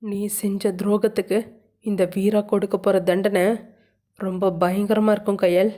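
A woman voicing Veera, a furious, menacing young landlord man who shouts threats, with a mellow voice. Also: medium pitch, level tone, measured pace, natural voice.

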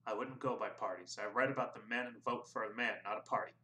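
A man reading aloud, voicing a quiet, careful young man who gives short, soft answers. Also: lowish voice, fairly even intonation, fast. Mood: restrained. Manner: soft, subdued, clipped answers. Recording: roomy echo.

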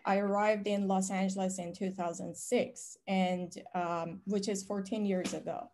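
Woman from iran telling her story on a video call, steady and earnest. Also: mid-range voice, unhurried, slight background noise. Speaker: panelist, professor. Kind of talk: personal introduction on a panel. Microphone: video call webcam.

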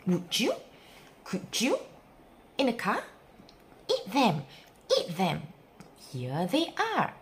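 Female children's storyteller, hugely swooping intonation, unhurried sing-song read-aloud, slight background noise. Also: mid-range voice, wildly swooping pitch. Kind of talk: expressive read-aloud of a rhyming picture book for young children.

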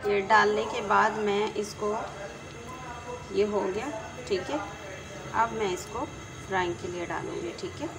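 A woman's voice: mid-range voice, steady tone, measured pace, long pauses, very noisy. Chatty woman with a Pakistani Urdu accent. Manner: chatty, repeats "theek hai" after each step. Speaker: home cooking channel host. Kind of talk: casual cooking tutorial.

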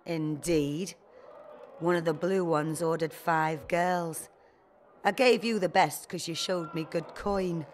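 An actress playing a sly, mercenary brothel madam in a video game, with a familiar, knowing manner. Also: female voice, lowish voice, fairly even intonation, slow pace, slight background noise. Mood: sly, chatty.

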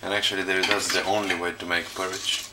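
Man in his thirties, chatty vlogger, a bit hoarse, low voice, handheld camera mic in a roomy flat. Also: quick pace, far from the mic, very noisy. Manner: chatty, addresses viewers as ladies and gentlemen. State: sore throat, slightly hoarse. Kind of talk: casual vlog chat to camera. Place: small flat kitchen and bedroom indoors. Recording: echoey room with clattering dishes.

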